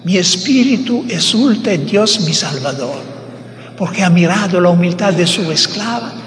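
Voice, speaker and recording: A man preaching a sermon in Spanish, which is not his first language, speaking haltingly and searching for words. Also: high voice, lively intonation, long reverb tail.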